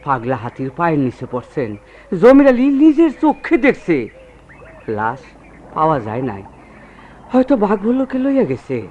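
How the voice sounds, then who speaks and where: A man's voice: fairly high pitch, wildly swooping pitch, moderate pace, long pauses, a little mellow. A man playing a rustic working man in a radio drama, telling his tale plaintively and deferentially in rural Bangladeshi dialect. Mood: sorrowful. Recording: dull lo-fi radio recording with noise.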